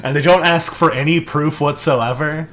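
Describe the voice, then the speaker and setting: A man's voice: on the high side, normal speed, a bit bright. A young man co-hosting a casual podcast, telling a long story with many restarts and asides. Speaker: podcast co-host.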